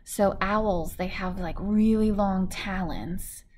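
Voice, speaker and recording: A woman's voice: mid-range voice, slow, darkish tone. A young adult woman reading a children's picture book aloud like a teacher, expressively, with asides and questions to her young listeners. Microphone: device in front at about arm's length, speaking through a face mask.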